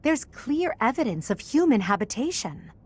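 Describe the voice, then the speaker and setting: A woman's voice: on the high side, big pitch swings, normal speed, a little mellow. An actress voicing a serious, commanding young noblewoman leader in a video game, formal, cool and authoritative. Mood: observant.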